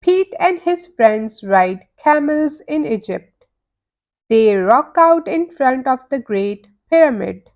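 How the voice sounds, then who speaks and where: Very slow, high-pitched woman reading a storybook. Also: dull audio. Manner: deliberate, animated storytelling for young children. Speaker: children's read-aloud narrator. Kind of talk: expressive storybook narration.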